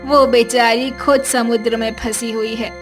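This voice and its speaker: A woman's voice: on the high side, flat delivery, a little slow, over music, pitched below the performer's own voice, close to an everyday voice. A woman voicing an anxious, loving old village grandmother in a cartoon story, mournful and tender. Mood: gently assuring. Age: older. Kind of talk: dramatised Hindi cartoon story dialogue.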